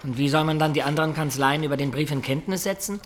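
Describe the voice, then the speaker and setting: A man's voice: on the high side, quick pace, noisy background, natural voice. An actor playing a wistful adventurer in a radio drama, recounting his life in a reflective, confiding way. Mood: eager, practical. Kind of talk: radio drama acting and storytelling.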